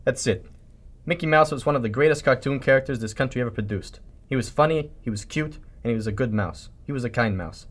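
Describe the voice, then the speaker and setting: A man playing a stern executive giving a grave, measured briefing in a radio drama parody. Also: medium pitch, close to an everyday voice. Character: stern executive briefing a captain on a secret mission.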